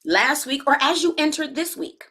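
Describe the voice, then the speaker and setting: A woman in her forties giving a live-streamed health coaching talk, chatty and relaxed, speaking straight to her viewers and using casual slang. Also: high-pitched, normal speed. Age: 40s.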